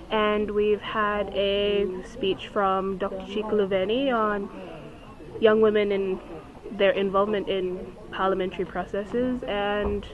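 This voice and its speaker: Lady coordinator giving a rundown in an interview, dull sounding mic, busy crowd. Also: medium pitch. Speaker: forum coordinator and co-convener. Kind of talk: interview, reporting on events. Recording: muffled, busy crowd chatter behind.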